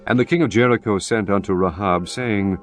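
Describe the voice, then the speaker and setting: A man narrating scripture in a solemn, measured way. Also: fairly low pitch, faint music behind.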